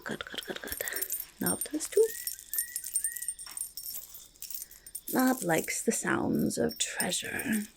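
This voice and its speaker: A woman voicing a curious, friendly forest goblin in a soft ASMR roleplay, speaking haltingly in breathy whispers and creaky murmurs, repeating words. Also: mid-range voice, full character voice. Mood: pleased, eager. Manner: halting, third-person speech with doubled words. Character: curious, eager, friendly forest goblin who hoards treasure.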